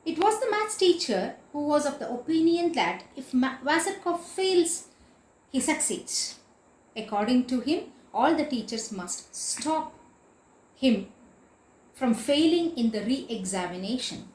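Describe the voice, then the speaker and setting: A woman's voice: sits high, big pitch swings, measured pace. A woman teacher giving an explanatory literature lecture in a didactic, emphatic way, repeating and spelling out key words. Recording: echoey room.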